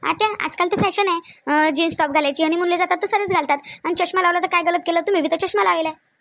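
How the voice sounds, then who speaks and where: A woman's voice: very high-pitched, rapid-fire, fairly bright tone. A woman voicing a young married daughter-in-law in a cartoon family skit, meek and evasive, with a Vidarbha Marathi accent. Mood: placating. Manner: placating, apologetic, repeats denials.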